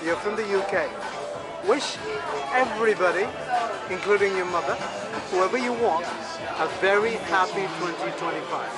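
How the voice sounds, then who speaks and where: A man with a high voice runs cheerful street interviews, joking and teasing the people he stops. He is recording outdoors at night, and a dense, loud crowd roars behind him. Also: moderate pace, long pauses, loud music behind the voice. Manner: playful, teasing, upbeat. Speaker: vlog host interviewing passers-by.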